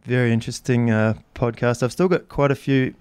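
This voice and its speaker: A man's voice: mid-range voice, steady tone, brisk, a little mellow, clear, resonant tone, no room sound. A man being interviewed as a podcast guest, talking casually and hesitantly, with frequent restarts. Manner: hesitant, full of restarts and "I mean". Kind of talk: casual chat.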